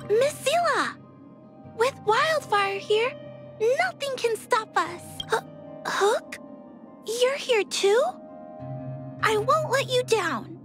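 Tiny shy game girl, really high sweet voice, slow, soft music behind. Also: lively intonation, heavily acted. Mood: shy, earnest. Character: shy, gentle, earnest little girl. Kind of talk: video game voice acting.

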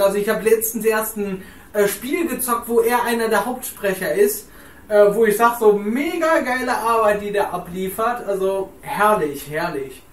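A young man hosting a review vlog, laid-back and colloquial, openly enthusiastic. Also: sits high. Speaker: host, reviewer. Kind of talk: casual vlog chat. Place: small room on a sofa. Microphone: camera across the room. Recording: echoey small room.

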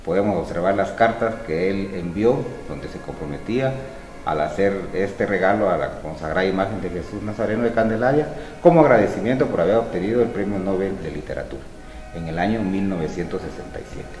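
A middle-aged man, a museum spokesman explaining an exhibition in a measured, informative way. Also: deep voice, darkish tone. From Guatemala. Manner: measured, informative, with small restarts. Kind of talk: explanatory museum tour talk. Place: museum hall with exhibits, indoors. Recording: echoey hall with music behind. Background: music.

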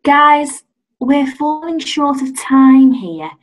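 A woman acts out a polite couples counsellor in a comic radio play. Her voice is very high and animated in intonation, yet she speaks slowly, with patient, measured diplomacy. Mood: soothing, diplomatic.

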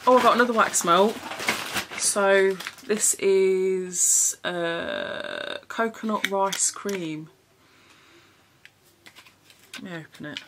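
A young woman chats straight to the camera in a small bedroom, frank and easygoing, with the odd casual swear word. Her voice has a middling pitch. A steady hiss sits under the recording. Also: slow, pauses often. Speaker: vlogger. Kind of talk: casual haul chat to camera.

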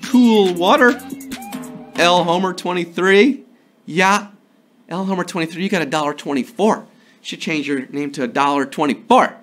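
A man in his thirties hosting a live trivia show from a studio, playful and jokey, a little hoarse from a sore throat. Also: high voice, fairly bright tone, faint music behind. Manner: playful, jokey, slips into quick impressions and sung bits. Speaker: trivia game show host. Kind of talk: upbeat live quiz hosting. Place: studio before a green screen.

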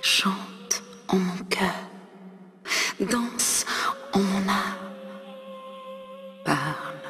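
Slow, breathy woman reciting poetry in long reverb. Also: mid-range voice. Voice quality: breathy, often half-whispered. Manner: slow, expressive, long pauses between short phrases. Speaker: poet performing own work. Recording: long reverb, music underneath. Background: ney flute music.

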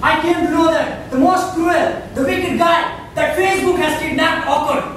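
Distant echoey recording, noisy, Indian-accented man, theatrical speechmaking, emphatic, dramatic pauses, comic storytelling. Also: very high voice, steady tone, fairly bright tone. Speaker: club speaker. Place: meeting room with a small audience. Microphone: far camera. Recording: echoey room, distant camera mic, noisy.

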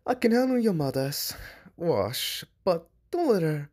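Man acting flustered gentle demon, slow and swooping. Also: fairly high pitch, sing-song. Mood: startled, apologetic. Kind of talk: fan comic dub acting.

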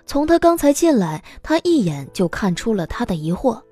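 A woman reading scripted audiobook narration as an expressive storyteller. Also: fairly high pitch, normal speed, faint music behind.

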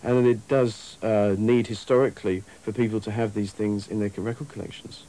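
A man's voice: fairly low pitch, steady tone, a little fast, dark tone, rough recording. A man answering in an interview about his trade, hesitant and broken in his phrasing. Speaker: record industry interviewee.

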